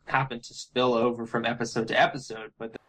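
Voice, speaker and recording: A man's voice: mid-range voice, flat delivery, fast, a bit bright. Man in casual, bemused discussion. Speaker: web series co-creator.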